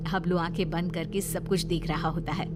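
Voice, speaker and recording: A woman's voice: fairly low pitch, fairly even intonation, normal speed, over music. A woman storyteller reading a scripted tale as narrator for a children's story.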